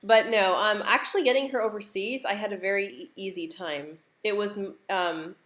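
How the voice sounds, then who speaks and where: A woman's voice: mid-range voice, normal speed, a bit bright. A young American woman who stutters, repeating words and sounds, chatting casually on a live stream and laughing easily. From United States.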